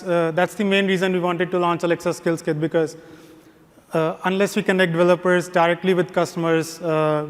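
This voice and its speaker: Male conference presenter, monotone, a touch high, echoing in a large hall. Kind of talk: conference talk. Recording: long reverb of a big hall.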